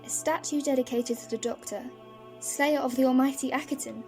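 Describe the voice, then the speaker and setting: A woman's voice: high-pitched, fairly even intonation, brisk, dark tone, slightly rough, faint music behind. A woman playing an earnest, questioning teenage granddaughter in a fan audio drama. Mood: concerned, curious.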